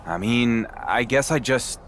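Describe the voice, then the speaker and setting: An actor playing a battered, self-doubting wrestling champion in a video game cutscene, hesitant and subdued. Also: male voice, mid-range voice, expressive, measured pace, fairly bright tone, a little hiss or hum.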